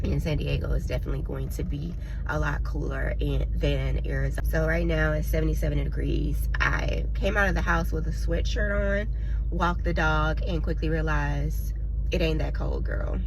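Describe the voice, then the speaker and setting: A woman's voice: deep voice, vocal fry. Congested, chatty woman vlogging from a car. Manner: chatty and candid, with frequent ums. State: congested from a cold. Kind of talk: casual vlog chat. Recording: lo-fi phone audio with loud car noise.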